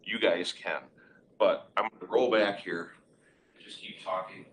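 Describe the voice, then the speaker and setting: A man's voice: sits low, steady tone, measured pace, fairly bright tone, a little hiss or hum, poor audio. A man co-hosting a casual webinar from a meeting room, informally prompting his colleague with questions and summing up points. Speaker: webinar co-host asking questions. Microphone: conference room array microphone.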